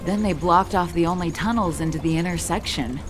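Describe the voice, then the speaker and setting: A woman's voice: fairly low pitch, a little fast, noisy background, close to an everyday voice. A woman playing a shaken, earnest miner in a video game. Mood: troubled. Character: shaken, earnest female miner giving a report. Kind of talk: video game character acting.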